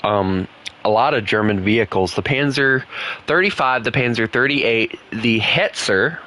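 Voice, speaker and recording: Young man, southern accent, rambly gaming chat, bright voice. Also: mid-range voice, measured pace. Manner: rambling, chatty, laughs often. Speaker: gaming streamer.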